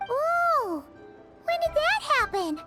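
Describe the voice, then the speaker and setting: A woman voicing a tiny childlike floating fairy companion in a video game, squeaky and exclamatory, quick to get excited or worried. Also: loud music behind the voice, exaggerated voice. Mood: amazed, eager. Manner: exclamatory, speaks in bursts.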